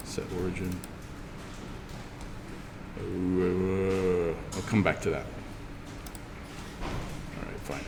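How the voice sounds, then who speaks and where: A man with a fairly low voice leads a software tutorial in class. He explains informally, one step at a time, as he demonstrates. The room is reverberant, with faint noise behind him. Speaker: instructor.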